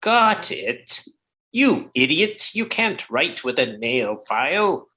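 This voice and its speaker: A woman's voice: low-pitched, very animated, slow pace, bright, forceful tone, full character voice. A woman playing an exasperated, sarcastic male office boss, blustery and withering, in an old-time radio comedy.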